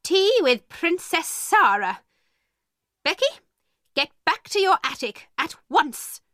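A woman's voice: very high voice, big pitch swings, slow pace, fairly bright tone, dry recording, clean studio sound, pitched above the performer's own voice, full character voice. A woman voicing a stern, angry older headmistress in a story, clipped, commanding and scornful.

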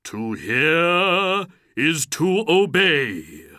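A solemn male genie from a storybook, performed by a narrator. He speaks very slowly and formally, booming out his obedience to his master. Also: very animated, sharp tone, no room sound, clean studio sound, exaggerated voice. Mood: obedient.

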